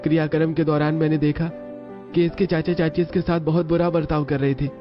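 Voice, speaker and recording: A man's voice: fairly high pitch, flat delivery, fairly quick, natural voice. A man playing an earnest, dutiful young son in a cartoon family story. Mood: earnest, apologetic. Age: young adult. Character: dutiful, earnest newly married son explaining himself. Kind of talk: Hindi cartoon story voice acting. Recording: dull lo-fi audio over music.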